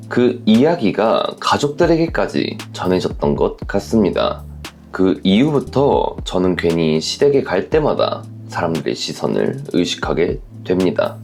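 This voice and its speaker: A man narrates in a very low register with a creaky grain and lively rise and fall. He sounds earnest and polite as he gives measured, reflective commentary like a host reading listener letters. Soft instrumental music sits behind him.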